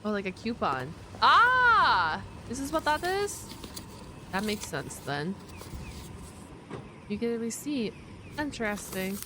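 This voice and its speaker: A woman with a fairly high, very animated voice chats casually as she reacts live for her stream. She is expressive and slangy, over loud street-like noise and crowd ambience. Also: slow, pauses often.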